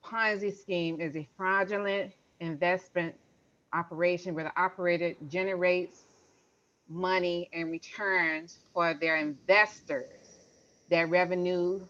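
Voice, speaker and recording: A woman on a video call, reading definitions aloud haltingly and explaining them. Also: on the low side, steady tone, fairly bright tone. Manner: halting, reads aloud with stumbles. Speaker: call participant. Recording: dull lo-fi call audio.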